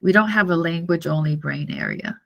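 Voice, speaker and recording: Woman, native Mandarin speaker, university lecturer. Low register with vocal fry, halting and repetitive classroom explanation. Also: wildly swooping pitch, highs cut off. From Taiwan. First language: Mandarin Chinese. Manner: halting, repetitive, checks understanding often.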